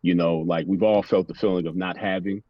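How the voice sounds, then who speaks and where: A man's voice: sits low, steady tone, a little fast, dry recording, dull audio. An American man talking casually and reflectively as an interview guest, philosophical in the way of a motivational speaker, often checking in with "you know".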